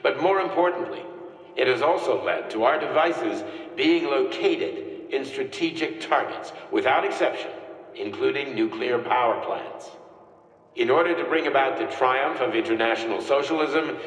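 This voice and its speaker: A man gives voice to a grand game figure, a scientist leader addressing his nation in solemn, measured sentences. The sound comes from far off and carries long reverb, as if heard across a vast, echoing hall. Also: medium pitch, close to an everyday voice. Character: male scientist leader giving a grand speech.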